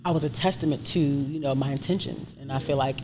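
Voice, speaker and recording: A woman's voice: rumbling low, steady tone, quick pace. A woman in her thirties from Brooklyn, talking openly and animatedly as an interview guest, laughing often. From Brooklyn, New York. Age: 30s. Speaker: guest, chef and entrepreneur. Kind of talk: candid conversational interview. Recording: noisy background ambience.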